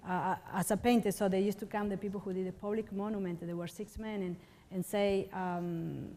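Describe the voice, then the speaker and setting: Woman, sculptor, halting storytelling, long reverb. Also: medium pitch, fairly even intonation, dark tone. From Argentina. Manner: rambling, halting, searching for words. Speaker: stone sculptor. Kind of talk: casual interview, storytelling.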